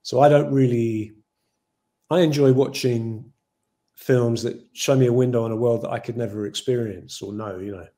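Man with an English accent, dark tone. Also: mid-range voice, fairly even intonation, moderate pace. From Ireland. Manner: thoughtful, rambling with many "you know" fillers. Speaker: film director. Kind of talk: video call interview. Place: home room with shelves. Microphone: video call.

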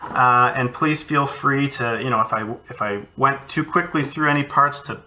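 A man's voice: mid-range voice, normal speed, bright, forceful tone, slight background noise, rough recording. A man, an art educator, casually walking kids through a cardboard craft project from his home studio, encouraging and thinking aloud as he works.

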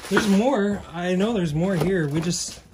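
A man's voice: high-pitched, unhurried, background noise. A young man chatting casually as he explores a cluttered house and talks through what he finds. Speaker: urban explorer filming a video. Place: inside a cluttered abandoned house. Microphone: handheld camera or phone, held by speaker.

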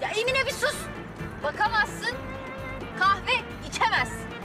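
A woman's voice: extremely high pitch, big pitch swings, a little slow, heavily acted. A young woman acting a drunk, jealous and defiant figure in a TV drama, stubbornly repeating threats. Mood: jealous nagging. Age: young adult. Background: loud music.

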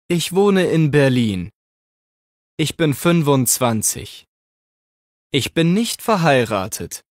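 A man's voice: medium pitch, no echo, studio quality. A man announcing exercise numbers and reading short texts for language course audio. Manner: very slow, deliberate.

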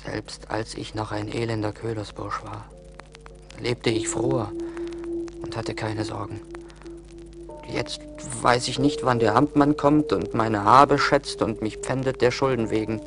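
An actor playing a proud, self-pitying young charcoal burner in a German radio play. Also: male voice, on the low side, steady tone, unhurried, music in the background. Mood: despairing.

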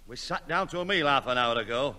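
A man playing a gruff, exasperated, blustering neighbour and father in a 1960s radio sitcom. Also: medium pitch, lively intonation, brisk, pushed and bright. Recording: old radio recording with faint noise.

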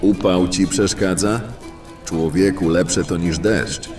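A man playing an irritable, threatening fantasy tough guy in an audio drama, gruff and curt. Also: deep voice, steady tone, takes it slowly, recorded from a distance, over music. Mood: irritated.

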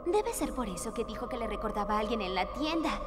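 A woman's voice: a little high, expressive, fast talker, noisy background, close to an everyday voice. A woman voicing a brave, sensible teenage girl investigator in a Latin American Spanish cartoon dub, earnest and assertive. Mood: explaining. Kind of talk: dubbed cartoon acting.

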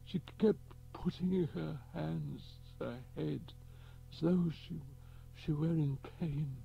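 Dying older man, voiced by the narrator, stammering, higher and rough-edged. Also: fairly even intonation, lo-fi sound, faint music behind, exaggerated voice. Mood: broken, grieving. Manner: halting, stammering speech.

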